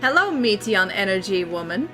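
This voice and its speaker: A woman's voice: mid-range voice, sing-song, normal speed. A Dutch woman streaming a video game, chatting casually and playfully as she reacts aloud. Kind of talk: casual live game commentary. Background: soft game music.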